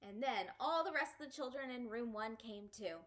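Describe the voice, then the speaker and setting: A woman voicing a brash, chatty, excitable little girl in an animated children's book read-aloud, with dramatic exclamations and emphatic repetition. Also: on the high side, normal speed, natural voice. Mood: excited.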